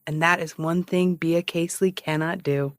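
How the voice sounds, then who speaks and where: An actress playing a cocky, sarcastic amateur investigator and broadcaster who teases and rambles defensively in an audio comedy-drama. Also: female voice, lowish voice, steady tone, moderate pace, no room sound, studio quality, natural voice. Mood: smug, needling. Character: cocky, needling amateur investigator and radio broadcaster, adult woman. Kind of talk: naturalistic audio fiction comedy-drama acting.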